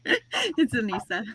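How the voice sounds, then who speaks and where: A woman's voice: sits high, a bit bright. A woman in her forties talks casually on a home livestream, rambling through stories and laughing at herself. Her voice sits in a middle range. A faint hiss runs under the recording. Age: 40s. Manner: chatty, self-deprecating, laughs often. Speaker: host of a reseller livestream. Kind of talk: casual chat with lots of laughing.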